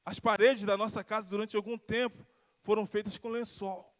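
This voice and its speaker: A man's voice: sits high, little pitch movement, normal speed, long reverb tail. A pastor from Rio de Janeiro with a Carioca accent, preaching and telling his own testimony, his voice swelling and falling and drawing out key words. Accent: Carioca Brazilian Portuguese. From Rio de Janeiro, Brazil. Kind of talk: sermon with personal testimony. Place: church sanctuary.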